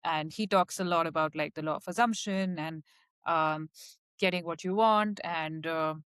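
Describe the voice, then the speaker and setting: A woman's voice: sits low, moderate pace, a bit bright, smooth, no room sound, clean studio sound. A woman whose first language is Hindi, a guest on a podcast, explaining ideas in a chatty way with many fillers. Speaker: podcast guest, data scientist. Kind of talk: casual interview chat.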